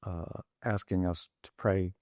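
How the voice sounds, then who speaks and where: A man's voice: low voice, steady tone, a little mellow, dry recording, studio quality. A man in a casual podcast discussion, talking thoughtfully with frequent restarts and fillers. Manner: thoughtful, halting, many restarts. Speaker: podcast panelist. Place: studio in Rome.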